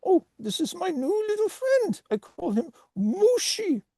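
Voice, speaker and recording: A man voicing a cheerful, chatty talking potato salad with an exaggerated French accent and an excitable, theatrical manner. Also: extremely high pitch, measured pace, dry recording, studio quality, voice pushed up, full character voice. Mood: playful, eager.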